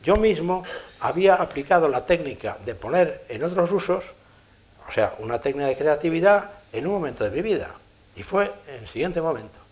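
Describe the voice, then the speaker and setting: A man with a Spanish accent from Spain giving a conference lecture, telling lively anecdotes and chuckling at his own stories. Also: fairly high pitch, fairly quick. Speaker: engineer giving a conference talk. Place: lecture hall.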